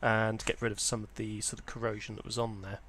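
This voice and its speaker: A man's voice: lowish voice, level tone, a little fast, fairly bright tone. A man presenting an informal, unscripted walkthrough as a hobbyist, explaining things in a hesitant, hedging way. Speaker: presenter of a vintage audio hobby channel.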